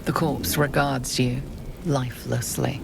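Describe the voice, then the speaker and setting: A grave female storyteller narrating a dark fantasy game. Her voice is strikingly low and full of gravelly fry, slow and ominous, with noisy ambience behind it. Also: little pitch movement, exaggerated voice. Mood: ominous, detached. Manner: measured, ominous.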